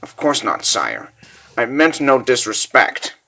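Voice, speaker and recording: A man's voice: mid-range voice, sing-song, slow, vocal fry, bright, forceful tone, heavily acted. A man voicing a loyal, deferential military captain in a comic dub, formal and respectful. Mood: dutiful. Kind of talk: dramatic comic dub acting.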